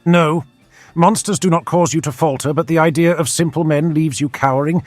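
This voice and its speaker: A man's voice: a little high, steady tone, normal speed, no room sound, faint music behind, pitched above the performer's own voice, natural voice. A man voicing a jovial, scheming ruler who declaims grandly to his court in a fantasy audiobook. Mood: demanding, scornful. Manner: declamatory, theatrical.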